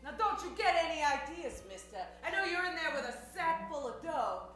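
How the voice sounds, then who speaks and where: A woman's voice: very high-pitched, animated, brisk, a bit bright, soft background music. A woman playing a tough, brash gunslinging cowgirl, brassy and slangy, in an improvised Western radio comedy. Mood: defiant. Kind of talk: improvised old-time radio comedy acting. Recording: echoey stage hall.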